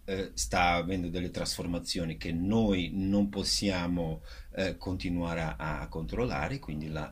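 A man's voice: low voice, slight background noise. A man answers interview questions earnestly, searching for his words. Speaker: theatre actor and director, interview guest. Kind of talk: interview answers about a stage show.

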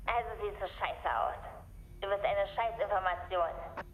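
An actress in a German radio drama playing a young CB radio operator, blunt and teasing, probing with questions over the air. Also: female voice, mid-range voice, unhurried, echoey room, slight background noise, lightly acted, poor audio. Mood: teasing, provocative. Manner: blunt, needling, inquisitive.

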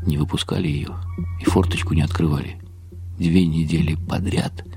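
Deep, dark-voiced male literary narrator. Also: steady tone, normal speed, gravelly, soft background music. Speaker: narrator and lead actor. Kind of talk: dramatised first-person literary narration.

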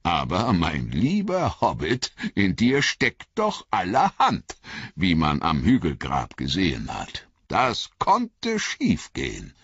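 A man puts on an old wizard, grave and gentle, in a storybook reading. The voice is deep, husky and unhurried, yet it rises and falls widely. The recording sounds a little dull and lo-fi. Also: wildly swooping pitch, no room sound, exaggerated voice. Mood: grave, kindly.

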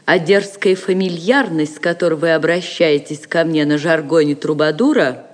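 A woman's voice: sits low, fairly even intonation, normal speed, natural voice. A woman acting a proud, defiant captive noblewoman in an audio drama, formal, icy and scornful. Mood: icy dignity.

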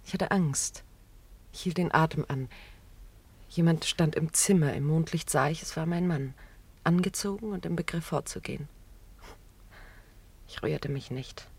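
An actress playing a grief-stricken, self-blaming widow in a German radio play, with a brooding, hesitant, confessional manner. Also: female voice, low-pitched, a little slow, creaky, pauses often, darkish tone, husky, full character voice. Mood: anguished, confessing.

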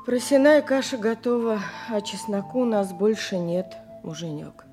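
A woman's voice: mid-range voice, moderate pace, warm tone, soft background music, natural voice. An actress playing the weary, long-suffering wife of a poor man in an audio drama, subdued and resigned.

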